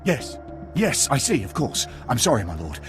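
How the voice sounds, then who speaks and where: An earnest, rattled male spy played by a man speaks in a mid-pitched voice that swings widely. His clipped, deferential game-cutscene delivery sits over clearly audible music. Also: sing-song, moderate pace, lightly acted. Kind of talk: video game cutscene acting.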